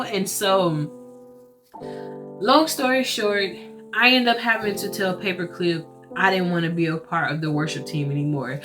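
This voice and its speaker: Earnest woman with a loud, carrying voice. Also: mid-range voice. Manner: earnest and emphatic, with rhetorical asides. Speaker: churchgoer sharing a personal testimony. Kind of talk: casual faith storytelling. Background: soft guitar music.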